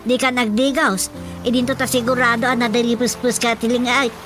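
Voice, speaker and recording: A woman acts out a sharp-tongued Ilocano woman in a radio drama comedy, scolding and teasing. Her voice sits a little high, with music clearly playing behind it. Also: little pitch movement, brisk, natural voice.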